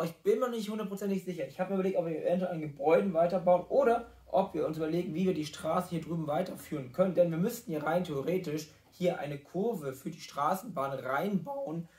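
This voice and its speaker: A man with a somewhat high voice, audibly hoarse from a cold. He hosts his vlog in a casual, chatty way and talks through his build. He often corrects himself mid-sentence. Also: a little mellow. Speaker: vlog host and model builder. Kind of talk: casual chat and building voice-over.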